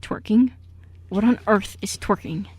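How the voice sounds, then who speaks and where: A woman's voice: mid-range voice, unhurried, a little mellow, breathy edge, dry recording. Woman as a fussy, protective older uncle, dry sound. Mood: exasperated. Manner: fussy, exasperated delivery. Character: prim, protective, easily flustered older uncle. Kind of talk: amateur radio play acting.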